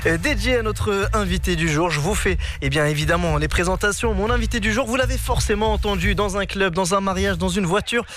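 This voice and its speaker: A man hosting a radio show and interviewing a guest in the studio, talking in a lively, emphatic way with many fillers. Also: high voice, brisk, hardly any pauses, soft background music. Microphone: studio microphone.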